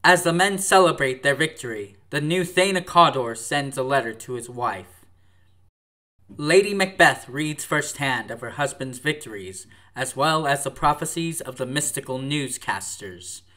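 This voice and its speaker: A male narrator delivers scripted links for a radio drama. His mid-range voice is bright and crisp-edged. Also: medium pitch, expressive, moderate pace.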